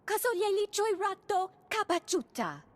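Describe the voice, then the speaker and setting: A woman playing an alien in a video game, speaking an invented tongue with a husky voice. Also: very high-pitched, very animated, moderate pace. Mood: animated. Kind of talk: video game alien dialogue in gibberish language.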